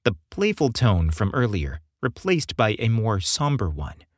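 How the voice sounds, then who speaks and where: A man's voice: on the low side, huge pitch swings, measured pace, husky, no echo, studio quality. A man narrating a scripted story as an audiobook reader.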